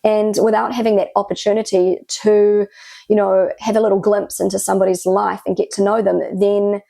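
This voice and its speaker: A woman's voice: mid-range voice, level tone, a little fast, vocal fry, mellow, no echo. A woman from New Zealand with a New Zealand accent, talking earnestly to camera as a vlogger, with reflective "you know" asides. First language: English. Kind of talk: casual talk to camera.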